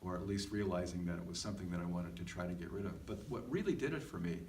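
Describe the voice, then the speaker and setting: Echoey, low-voiced middle-aged man chatting. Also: quick pace, a little mellow. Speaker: podcast co-host. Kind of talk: casual reflective podcast conversation. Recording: echoey, distant mic with faint noise.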